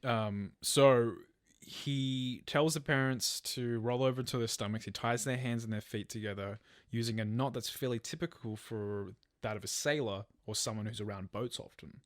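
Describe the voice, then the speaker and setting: A man's voice: medium pitch, normal speed, no room sound, studio quality. A man telling a true crime story as a podcast host, partly from a script. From Australia.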